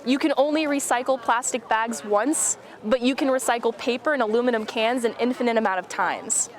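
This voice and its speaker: A young woman with a fairly high voice talks as a club member being interviewed. She explains her points in an informal, conversational way. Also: brisk.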